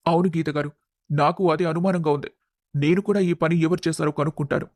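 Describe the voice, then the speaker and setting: Earnest young company boss, played by a man: slightly high voice, respectful, sincere, for a family drama. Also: fairly even intonation, a little fast, darkish tone, dry recording, natural voice. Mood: concerned. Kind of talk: dramatized family story voice acting.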